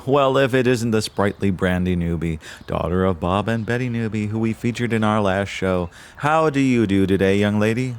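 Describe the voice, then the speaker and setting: A man's voice: on the low side, normal speed, few pauses, a little hiss or hum, lightly acted. A man playing a chipper, folksy, old-fashioned podcast host. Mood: relieved, genial. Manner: folksy, genteel, old-fashioned flowery phrasing. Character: chipper, verbose small-town podcast host and dutiful son. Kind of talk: audio drama, mock radio-show narration.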